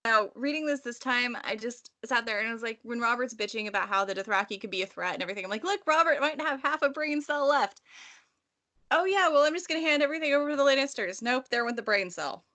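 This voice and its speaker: A woman's voice: fairly high pitch, fast talker, sharp tone. A woman co-hosting a casual, analytical book discussion in a wry, irreverent way. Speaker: podcast co-host discussing a novel.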